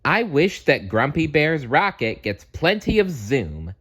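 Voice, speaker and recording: A man's voice: on the high side, big pitch swings, measured pace, fairly bright tone, husky, pitched above the performer's own voice, heavily acted. A man voicing an eager, friendly young female cartoon bear in an earnest, sing-song storybook manner. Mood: eager, hopeful.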